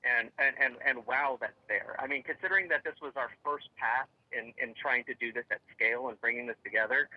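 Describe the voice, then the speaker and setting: A man's voice: mid-range voice, a little fast, few pauses, dry recording. Rambling man on a dull line. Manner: rambling, many fillers and restarts. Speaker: tech company guest. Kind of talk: interview answers.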